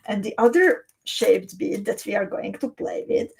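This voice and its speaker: A woman's voice: medium pitch, big pitch swings, normal speed, gravelly, slightly rough. A woman hosting a beading livestream, chatting as she shows off beads and answers viewers by name. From Hungary or Slovakia. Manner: chatty and descriptive, warmly addressing viewers by name. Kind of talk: live-stream chat, showing beads and reading viewer comments.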